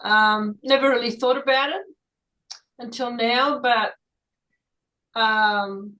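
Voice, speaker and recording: A woman's voice: on the high side, steady tone, takes it slowly, pushed and bright, low-quality recording. A woman from Germany, a native German speaker, chatting casually and tentatively in a group discussion as she thinks aloud. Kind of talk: casual reflective chat.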